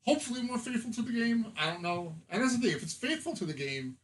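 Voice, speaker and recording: A man's voice: very high voice, very animated, fast talker. A man chatting casually as a panelist on a podcast about entertainment. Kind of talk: casual film and game discussion.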